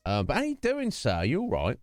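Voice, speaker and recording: A man's voice: medium pitch, wildly swooping pitch, a little fast, no room sound, studio quality, soft background music. A man hosting a livestream, chatting casually and rambling, restarting his sentences as he goes. He talks into a desk microphone with a noise gate. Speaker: livestream host. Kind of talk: casual livestream chat to viewers.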